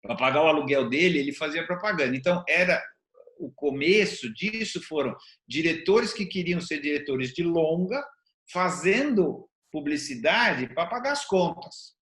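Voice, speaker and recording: A man's voice: fairly high pitch, expressive, measured pace, fairly bright tone. An older-sounding Brazilian man with a slightly raised voice talks things through in conversation. He explains step by step and repeats phrases for emphasis, in a roomy, echoing recording. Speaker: interview show host. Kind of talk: conversational discussion.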